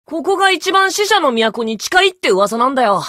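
An actor voicing a carefree boyish knight captain in an animated fantasy game, breezy, casual and a little bossy. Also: male voice, very high voice, moderate pace, music in the background. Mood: upbeat, commanding.

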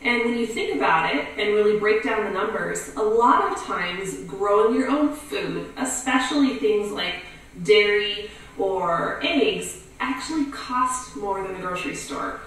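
A woman's voice: medium pitch, measured pace, fairly bright tone. A woman homesteader talking candidly to camera about her own farm life. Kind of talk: casual talk to camera. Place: living room with leather couch. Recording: roomy, from a distance.

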